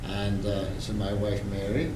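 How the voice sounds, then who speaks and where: Older man from wales, chatty and jokey, lowish voice, far off in an echoey room. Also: level tone, measured pace. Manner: chatty, joking, self-deprecating asides. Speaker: audience member. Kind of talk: spontaneous remarks from the audience. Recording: roomy hall, far mic, background hum.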